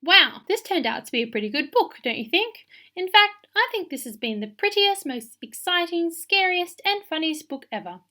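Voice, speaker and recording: Woman playing a little girl, storybook narrator, high-pitched, bright, bossy, excitable, theatrical emphasis. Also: moderate pace, heavily acted. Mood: delighted, smug. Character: bossy, excitable little girl telling her own book. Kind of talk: expressive children's picture book read-aloud.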